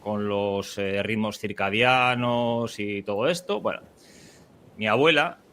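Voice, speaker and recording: A man's voice: lowish voice, slow pace, sharp tone. Rambling podcast host, man. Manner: rambling, many restarts and fillers, laughs often. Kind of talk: casual conversational interview.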